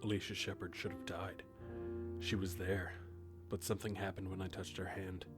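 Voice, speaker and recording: An actor playing a weary, centuries-old grim reaper who speaks and narrates in a reserved, formal way for an audio drama. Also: male voice, low voice, level tone, moderate pace, loud music behind the voice, natural voice. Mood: weary, brooding. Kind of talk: audio drama acting and first-person narration.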